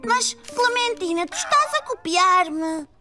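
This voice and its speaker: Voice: extremely high pitch, animated, takes it slowly, heavily acted. A performer voicing a naive four-year-old boy with a childlike European Portuguese voice in a children's cartoon. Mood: annoyed. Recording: music behind the voice.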